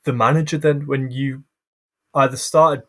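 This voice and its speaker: A young man hosting a casual podcast interview, friendly and hesitant with frequent pauses and restarts. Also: mid-range voice, fairly even intonation, fairly bright tone, a little hiss or hum. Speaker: podcast host.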